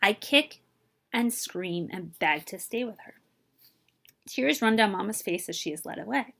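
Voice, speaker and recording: A woman reading a children's picture book aloud in an animated way, speaking directly to young listeners. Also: medium pitch, unhurried, creaky. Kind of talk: expressive children's read-aloud with asides to listeners.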